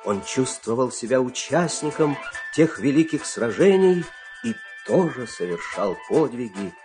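Male, folk-tale teller and country husband for audio drama, acted: expressive, theatrical, very lively intonation. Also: medium pitch, unhurried, over music, lightly acted. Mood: animated storytelling. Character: storyteller and coaxing, secretive farmer husband of a folk tale. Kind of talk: expressive audio play acting and narration.

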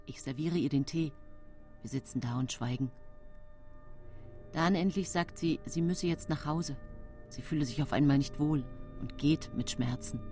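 An actress playing a composed, cold-blooded woman who narrates her own story in a measured, controlled way in a radio play. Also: low-pitched, warm tone, lightly acted. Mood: controlled, uneasy. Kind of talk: scripted first-person radio play narration. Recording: music behind the voice.

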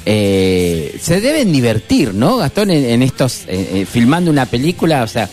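Lively Argentine man on radio. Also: mid-range voice, normal speed, soft background music. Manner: lively, chatty, many fillers and laughs. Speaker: radio co-host. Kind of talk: casual radio chat.

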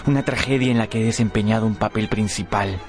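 Male actor for vain young gentleman in a radio play: lowish register, impassioned, theatrical delivery over light music. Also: quick pace, lightly acted. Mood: detached, theatrical. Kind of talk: Spanish-language radio drama acting.

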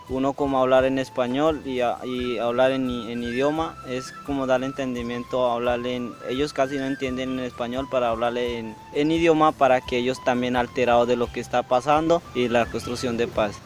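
A man from Colombia answering interview questions in a halting way, often restarting his words. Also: mid-range voice. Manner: halting, restarts words, sings a chant slowly.

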